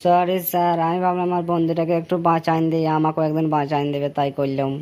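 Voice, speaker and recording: A woman's voice: sits low, little pitch movement, fast, a little mellow, lo-fi sound, close to an everyday voice. A woman voicing a cheeky cartoon schoolboy who makes rambling, pleading excuses in colloquial speech. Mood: sheepish. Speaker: voice actor in a comedy cartoon. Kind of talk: comedy cartoon dialogue.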